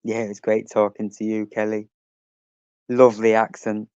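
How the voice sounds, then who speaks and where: A man's voice: on the low side, completely flat delivery, normal speed, a little mellow, clean tone, no echo, highs cut off. A man hosting a casual livestream, chatting and reading out messages from his chat, swearing now and then.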